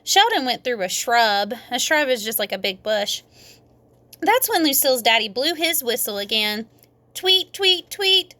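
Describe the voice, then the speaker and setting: A woman with a fairly high, very bright voice reads a children's story aloud. Her delivery is expressive and emphatic, slowing down for dramatic effect. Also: no echo. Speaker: storybook reader.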